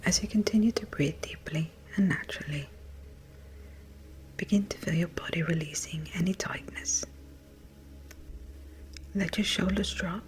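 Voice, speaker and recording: A woman with a breathy, whispery voice gently and soothingly guides a meditation in a measured way. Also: lowish voice. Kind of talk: slow guided meditation narration. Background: music.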